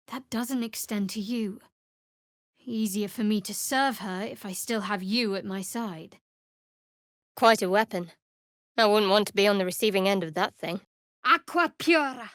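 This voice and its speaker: An actress voicing a guarded, wry female cleric of a dark goddess in a fantasy video game, with a cool, measured, sardonic delivery. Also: medium pitch, dry recording, studio quality, close to an everyday voice. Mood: solemn, then wary, then intense incantation.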